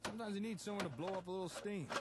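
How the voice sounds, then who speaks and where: An actor playing an easygoing wasteland settlement builder, talking in a casual, folksy way as video game dialogue. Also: male voice, sits high, very fast, heavy background noise, close to an everyday voice. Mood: easygoing, practical.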